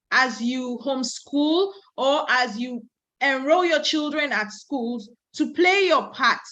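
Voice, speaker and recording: A woman's voice: on the high side, sharp tone. A woman in her thirties from Nigeria, giving a conference talk and speaking in a storytelling way, with asides. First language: Yoruba. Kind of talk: presentation talk.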